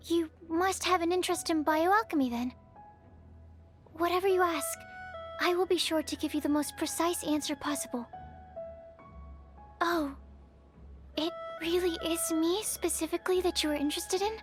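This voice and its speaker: A bookish young female alchemist for a video game. Her voice is high and girlish, with a shy, earnest, awkward way of choosing careful, formal words. Music plays behind her. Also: moderate pace, long pauses. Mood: flustered, shy. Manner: hesitant, precise, formal wording. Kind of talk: video game character voice lines.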